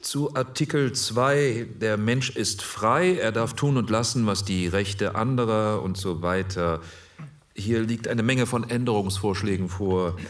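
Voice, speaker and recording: A man's voice: on the low side, unhurried, distant mic, natural voice. An actor playing a formal, precise male jurist in a 1948 committee debate for a radio play. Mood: formal, explanatory.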